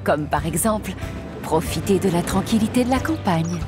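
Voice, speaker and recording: Woman narrator for a kids' cartoon: storybook telling, lively pitch. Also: normal speed. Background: music.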